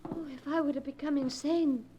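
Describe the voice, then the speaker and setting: An actress playing a frightened, desperate young daughter in an old radio horror drama, melodramatic and halting, with gasping breaths. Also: female voice, high voice, steady tone, warm tone, natural voice. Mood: distraught, panicked. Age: young adult. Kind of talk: 1940s radio horror acting. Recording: noisy old-time radio recording.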